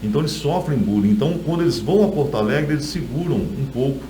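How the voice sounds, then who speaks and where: A man's voice: mid-range voice, animated, fast talker, rough recording. A middle-aged man with a Brazilian accent, an author telling stories in a conversational interview.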